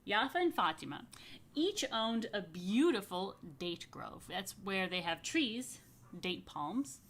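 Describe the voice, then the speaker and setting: A woman reading a picture book to young families as a rabbi, stretching key phrases expressively and slipping into chatty asides. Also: medium pitch. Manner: expressive, slow emphatic storytelling with chatty murmured asides. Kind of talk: children's story read-aloud with casual asides.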